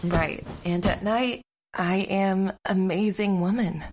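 A woman's voice: mid-range voice, takes it slowly, noisy background. A woman acting an earnest secret superhero in a podcast drama trailer. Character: earnest female secret superhero confiding her double life.

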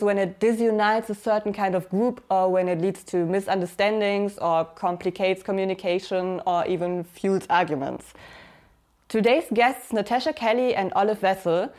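A woman's voice: medium pitch, a little mellow. A woman hosting a podcast who reads a scripted introduction and then asks her guests questions. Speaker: podcast host.

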